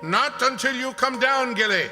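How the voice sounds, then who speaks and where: A man's voice: very high voice, normal speed, over music. A man playing a stern, commanding detective in a radio mystery, speaking with declamatory authority. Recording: long hall reverb.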